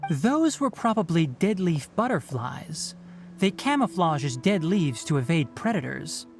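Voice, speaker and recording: A man's voice: a little high, very animated, unhurried, creaky, soft background music, heavily acted. A man playing a young, knowledgeable forest ranger in a video game, instructive and slightly wry. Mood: wry, explaining. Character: young male forest ranger, knowledgeable, dry, gently strict. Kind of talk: video game character acting.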